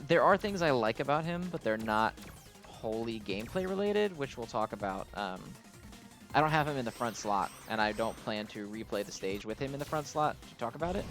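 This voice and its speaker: A man with a medium-pitched voice talks through a game as host of his own channel. He speaks casually and without a script, circling around his points and hedging as he goes. Music plays softly behind him. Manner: hesitant, rambling, often hedging his opinions. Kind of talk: casual unscripted game commentary.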